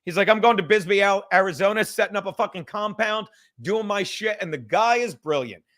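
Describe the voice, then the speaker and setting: A man with a high, bright voice hosts a loose livestream hang. He rambles casually, putting himself down and swearing freely as he goes. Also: fairly quick. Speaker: livestream host. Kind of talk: casual profane livestream chat.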